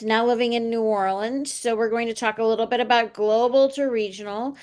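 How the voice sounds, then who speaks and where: A woman hosting an interview show over a video call, upbeat and welcoming. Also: a little high. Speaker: host.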